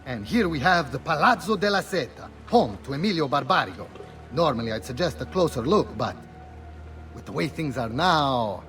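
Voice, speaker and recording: A man's voice: on the high side, lively intonation, normal speed, fairly bright tone, soft background music. A man playing a proud Venetian tour guide in a video game, with an Italian accent and a theatrical, effusive manner. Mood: wary, dismissive. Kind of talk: video game character acting.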